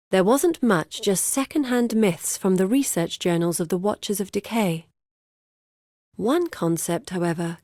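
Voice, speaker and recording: A woman playing an earnest, scholarly young daughter in a video game, speaking in a measured, formal, articulate way. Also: mid-range voice, darkish tone, no room sound, studio quality, lightly acted. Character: earnest scholarly young woman, determined daughter seeking her lost father. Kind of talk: scripted video game character dialogue.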